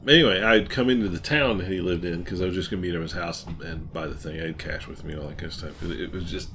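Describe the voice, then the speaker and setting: A man's voice: low-pitched, rapid-fire. A middle-aged man co-hosting a casual chat, telling anecdotes in a rambling, informal way. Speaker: co-host of an old tech show. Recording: background noise, room ambience.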